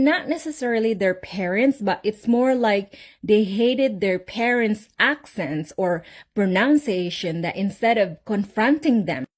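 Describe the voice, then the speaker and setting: Chatty Filipino woman vlogger. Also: mid-range voice. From Philippines. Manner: chatty, frank, mimics mocking laughter. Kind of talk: casual talk to camera.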